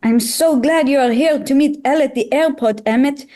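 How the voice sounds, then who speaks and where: A woman's voice: high-pitched, moderate pace, warm tone, lightly acted. A woman playing a concerned, genteel married lady who sprinkles in French phrases at a script table read. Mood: worried, relieved. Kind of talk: amateur table read dialogue.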